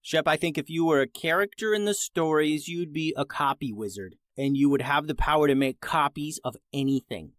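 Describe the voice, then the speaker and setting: A man's voice: fairly high pitch, moderate pace, few pauses, a bit bright, no echo, studio quality. A man hosting a children's story podcast, reading scripted narration.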